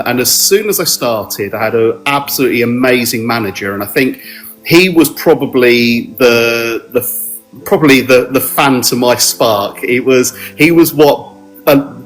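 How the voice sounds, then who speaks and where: A middle-aged man from South London with a South London accent, talking modestly in an interview and pausing often with ums and restarts. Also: medium pitch. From South London, England. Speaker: mortgage adviser guest. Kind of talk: relaxed podcast interview.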